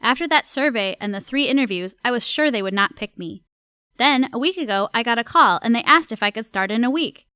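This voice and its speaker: A woman's voice: fairly high pitch, animated, fairly quick, sharp tone, clear, resonant tone, dry recording. A woman playing a dutiful, nervous housemaid confiding in her journal in an audio drama, speaking earnestly. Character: dutiful, nervous young housemaid at a grand mansion.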